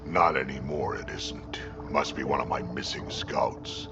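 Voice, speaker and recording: An actor playing a grizzled, brooding old alien warrior in a video game, speaking in a gruff, terse, heavy way. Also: male voice, extremely low pitch, unhurried, highs cut off, music in the background. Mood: grim, vengeful.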